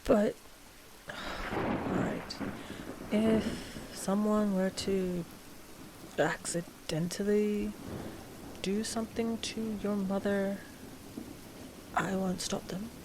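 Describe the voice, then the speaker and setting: A woman playing a cautious, principled vampire coven master in a scripted ASMR roleplay, measured and a little exasperated. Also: medium pitch, fairly even intonation, background noise. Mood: reluctant.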